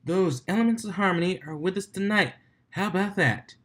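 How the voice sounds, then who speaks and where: A man's voice: high voice, brisk, fairly bright tone, pitched above the performer's own voice. A man voicing a brash, cocky female pony DJ with boisterous, slangy showmanship, in a storybook reading. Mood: hyped showmanship.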